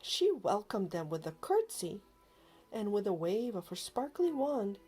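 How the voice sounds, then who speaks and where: A woman narrating a children's storybook aloud with expressive, dramatic emphasis, over music. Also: mid-range voice, unhurried, breathy edge. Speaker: storybook reader. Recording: music behind the voice.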